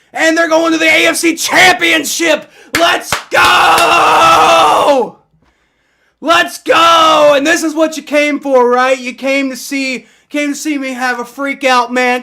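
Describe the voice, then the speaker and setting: A young man calls out his team's win on a fan livestream, yelling and swearing with raw excitement. His voice sits very high and sounds bright and strained. He has shouted himself partly hoarse. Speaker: sports fan livestream host. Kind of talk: excited live fan reaction.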